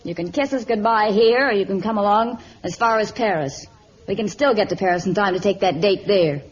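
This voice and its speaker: A woman's voice: mid-range voice, fairly quick, slightly rough, lightly acted. Woman as a bitter, sardonic young showgirl. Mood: stubborn. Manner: curt, sardonic, clipped retorts. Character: bitter, stubborn young showgirl twin sister. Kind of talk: vintage radio melodrama acting. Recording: dull, lightly noisy old radio recording.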